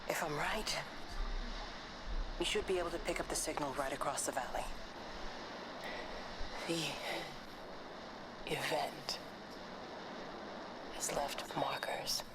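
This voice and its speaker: Solemn lone female scientist for a game: unhurried, weary, buried in loud noise. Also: low-pitched. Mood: grave, resigned. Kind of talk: video game voice acting.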